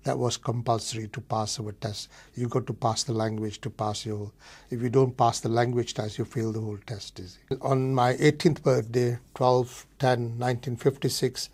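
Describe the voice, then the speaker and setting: Man in his 70s from Malaysia; husky, monotone interview recollections. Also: mid-range voice. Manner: plain, matter-of-fact recollection. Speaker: oral history interviewee. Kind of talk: reminiscing interview.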